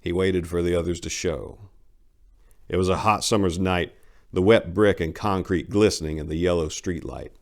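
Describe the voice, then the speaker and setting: A man's voice: low voice, steady tone, moderate pace. A man hosting and narrating his own short stories in a dramatic, scripted reading style. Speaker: host, author and narrator.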